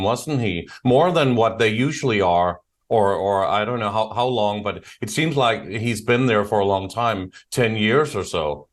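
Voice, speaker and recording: A male talk show interviewer with a mid-range voice. He speaks in a conversational way, stepping in with explanations and questions for his guest. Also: medium pitch.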